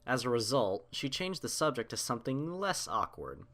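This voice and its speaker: A man's voice: medium pitch, big pitch swings, normal speed, gravelly, a bit bright. A man reading scripted fiction aloud as the narrator of a story.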